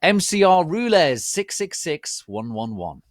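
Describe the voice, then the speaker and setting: A man's voice: a little high, big pitch swings, measured pace, no room sound, clean studio sound, pitched above the performer's own voice. A man voicing a petulant, brash teenage girl author ranting emphatically at readers in text-speak. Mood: chirpy, defiant. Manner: bratty, emphatic, reading text-speak aloud.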